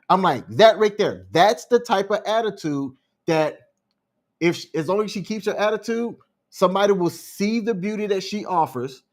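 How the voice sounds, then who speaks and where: Opinionated male podcast co-host. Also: fairly high pitch. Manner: opinionated, explaining his point. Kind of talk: casual commentary chat.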